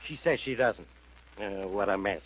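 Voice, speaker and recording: An actor playing a gruff, exasperated police inspector in 1940s radio style, blunt and commanding. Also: male voice, fairly low pitch, moderate pace, crowd noise, close to an everyday voice. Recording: dull old radio recording.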